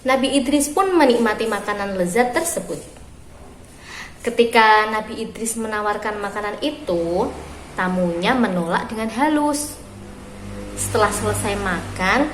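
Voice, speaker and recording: A woman reading a story aloud for young children, phrasing expressively and deliberately with pauses. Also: on the high side. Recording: roomy echo with clear background noise.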